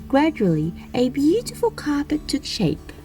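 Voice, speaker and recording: Slow, animated woman reading fairy tales. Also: high-pitched, soft background music. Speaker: storyteller and channel host. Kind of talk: read-aloud children's fairy tale narration.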